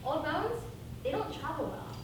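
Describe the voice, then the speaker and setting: A woman's voice: high voice, very animated, moderate pace. A woman playing a self-pitying, falsely sweet old witch with a melodramatic, genteel manner in a theatrical comedy. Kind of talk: theatrical stage comedy acting. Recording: distant, very echoey, noisy stage recording.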